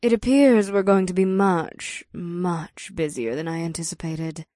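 Succubus club businesswoman acted by a woman: lower register, confident, teasing, dry audiobook sound. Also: measured pace, mellow, dry recording, close to an everyday voice. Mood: businesslike, weary. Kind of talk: dramatized audiobook acting.

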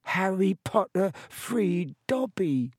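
A man voicing an excitable, servile male house-elf with a shrill, squeaky voice and a halting, earnest manner. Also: no echo, clean studio sound, exaggerated voice. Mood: awed.

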